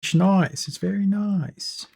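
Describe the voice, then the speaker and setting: A laid-back man with a South African English accent; his first language is Afrikaans. He chats with his stream at an unhurried pace, laughing easily, with a gravelly texture to his voice. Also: high-pitched, a little mellow. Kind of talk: casual chat with viewers while 3D modeling.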